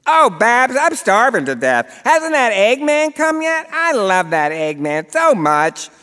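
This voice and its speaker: A man's voice: very high-pitched, very animated, slow pace, bright, forceful tone, clear, resonant tone, voice pushed up, heavily acted. A man voicing a whiny, begging old mother who is starving for eggs, read live in a reverberant hall. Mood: whining, frantic. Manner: wailing, begging.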